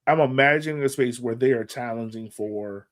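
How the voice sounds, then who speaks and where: Man, sports podcast co-host, casual fan talk, emphatic, repeats himself for emphasis, mid-pitched voice. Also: normal speed, fairly bright tone.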